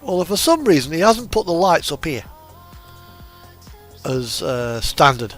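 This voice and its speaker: A man's voice: on the high side, sing-song, unhurried. A man giving casual, rambling gameplay commentary, hesitant and thinking aloud as he goes. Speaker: host of a truck simulator gaming video. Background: music from an in-game radio.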